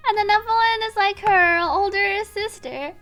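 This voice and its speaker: A woman streams her casual reactions to a video game in a strikingly high voice. Her tone is bright and forward, and her manner is chatty and giggly. Soft music plays underneath. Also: unhurried.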